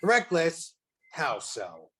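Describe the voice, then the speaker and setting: A man's voice: fairly high pitch, huge pitch swings, very slow, a bit bright, full character voice. A man playing a proud, possessive, threatening king in an online play reading. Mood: indignant, threatening.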